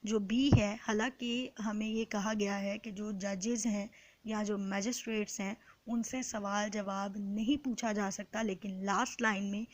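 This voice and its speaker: A woman with a Pakistani accent giving an explanatory lecture in a deliberate, instructive way, repeating points in other words. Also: mid-range voice, steady tone, no room sound. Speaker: law lecturer. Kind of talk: explanatory exam-prep lecture, reading and translating.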